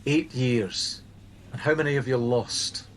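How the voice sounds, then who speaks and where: A man's voice: mid-range voice, natural voice. Male actor as principled supervisor, radio play. Careful, reasonable, insistent on the rules, with noisy ambience behind. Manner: measured, tactful, insistent. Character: earnest, firm male supervisor of a helpline team. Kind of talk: naturalistic radio play acting.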